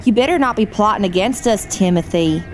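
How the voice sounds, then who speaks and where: An actress playing a flirtatious, cruel kidnapper. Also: female voice, mid-range voice, expressive, brisk, faint music behind, close to an everyday voice. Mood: taunting. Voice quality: flirtatious, mocking.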